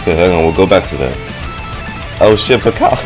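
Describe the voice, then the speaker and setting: Deep-voiced, sarcastic man streaming. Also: big pitch swings, a little fast. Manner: sarcastic, profane. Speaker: streamer reacting to a game. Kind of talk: casual live gameplay commentary. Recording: game music behind the voice. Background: game music.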